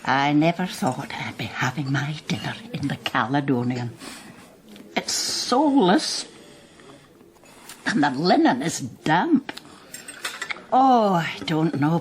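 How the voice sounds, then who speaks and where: A woman's voice: low-pitched, a little slow. An actress playing a fretful but kindly older Scottish mother in a radio drama, with a homely, complaining manner. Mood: grumbling, concerned. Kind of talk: naturalistic radio drama acting.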